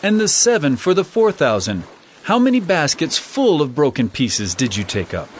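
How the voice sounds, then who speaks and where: A man's voice: a little high, big pitch swings, moderate pace, slight background noise. An actor playing an earnest male religious teacher in a dramatized scripture reading, with emphatic delivery. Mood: reproving.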